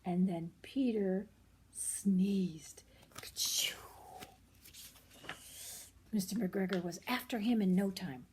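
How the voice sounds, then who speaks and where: Older woman reading a storybook over background noise. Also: medium pitch. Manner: expressive, pausing to explain to young listeners. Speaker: storyteller grandmother reading aloud. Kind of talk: animated children's picture-book read-aloud with simple asides.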